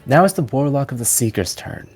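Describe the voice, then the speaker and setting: A man's voice: mid-range voice, lively intonation, fairly quick, a little mellow, breathy edge. A man narrating a tabletop fantasy game as its game master. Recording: music playing behind. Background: background music.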